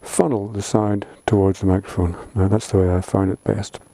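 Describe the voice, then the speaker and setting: A man's voice: fairly low pitch, level tone, normal speed, vocal fry, warm tone, no echo. A man giving a casual how-to explanation for a vlog in an informal, plain-spoken way. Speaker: vlogger.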